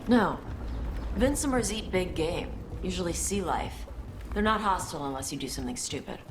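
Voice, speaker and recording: A woman's voice: on the low side, animated, moderate pace, background noise, lightly acted. An actress playing a tough dragon hunter woman in a video game, speaking in a terse, matter-of-fact way. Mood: blunt, guarded. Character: blunt, tough Qunari dragon hunter woman from a seafaring land. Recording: game sound effects over voice.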